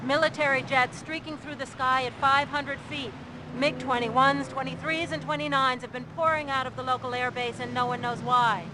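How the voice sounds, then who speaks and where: An actress playing a serious television foreign correspondent, giving a measured, formal scripted report in a video game. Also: female voice, high-pitched, steady tone, lightly acted. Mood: grave. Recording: noisy outdoor report with vehicle sounds.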